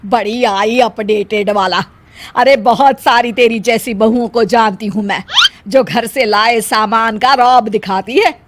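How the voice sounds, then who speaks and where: A proud, stubborn mother-in-law of middle age played by a woman in a moral story. She speaks slowly and emphatically in a high voice, scolding and taunting as she goes. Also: a little hiss or hum, natural voice. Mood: indignant. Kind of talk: acted dialogue in a Hindi moral story.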